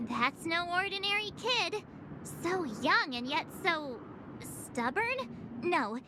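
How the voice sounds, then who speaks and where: A woman's voice: very high-pitched, sing-song, takes it slowly, noisy background, heavily acted. A woman voicing a bubbly, cheeky young adventurer in a video game, chatty and teasing. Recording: game audio with clear music behind.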